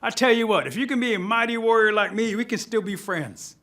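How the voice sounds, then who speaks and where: A man voicing a vain, boastful turtle who declares himself a mighty warrior in an animated folktale, with emphatic bragging. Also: on the high side, normal speed. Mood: friendly, bossy. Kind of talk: animated oral folktale storytelling.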